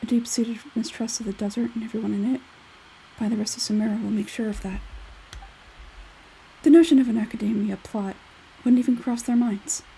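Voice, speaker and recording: A woman acts out an aloof young male scholar from a fantasy game. The tone is mellow and soft-edged, and the delivery is measured, detached and matter-of-fact. There is faint noise behind the voice. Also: fairly high pitch, steady tone, creaky, rough recording. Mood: detached, explaining. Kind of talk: video game character dialogue read aloud.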